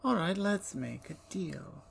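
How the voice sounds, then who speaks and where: A woman voicing a playful, talkative female vampire in an ASMR roleplay, teasing and dropping into soft whispers. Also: sits low, animated, slow, vocal fry, slight background noise, exaggerated voice.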